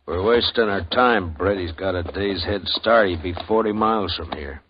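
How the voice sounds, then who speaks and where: An actor playing a weary, reluctant frontier sheriff with a gruff, grudging manner in a 1950s radio drama. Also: male voice, deep voice, normal speed, noisy background, lightly acted. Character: weary, reluctant old-west sheriff, stubborn. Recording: dull old radio broadcast.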